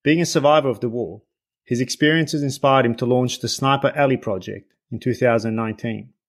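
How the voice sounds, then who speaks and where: A man from Bosnia and Herzegovina, a native Bosnian speaker, hosting a podcast interview; he reads a measured introduction and then speaks with many hesitations. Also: medium pitch. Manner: measured, hesitant with many fillers when unscripted. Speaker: podcast host. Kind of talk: scripted guest introduction and interview.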